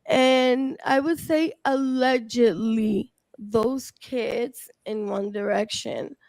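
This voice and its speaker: Woman from the dominican republic, slow and halting, gravelly, dry home-mic sound. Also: a little high, steady tone, a little mellow, dry recording. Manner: halting, full of fillers and restarts. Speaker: research team member. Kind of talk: casual panel talk.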